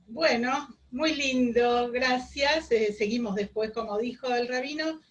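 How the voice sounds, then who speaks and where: High-voiced, slow-speaking woman moderator. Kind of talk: moderating an online gathering. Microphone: video call.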